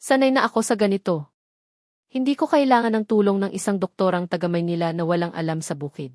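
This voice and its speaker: Woman acting a gruff, scornful farmer man. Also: medium pitch, quick pace, darkish tone, no room sound, studio quality, natural voice. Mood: scornful, stubborn. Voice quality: rough. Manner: curt, scornful. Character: gruff, stubborn, mocking injured farmer man in his thirties.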